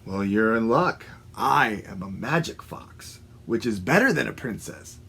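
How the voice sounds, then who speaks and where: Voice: mid-range voice, lively intonation, measured pace, vocal fry, bright, forceful tone, a little hiss or hum, voice pushed down, full character voice. A storyteller voicing a sly, smug storybook fox who lures listeners in like a conspirator. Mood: smug, luring. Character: sly scheming fox posing as magical helper.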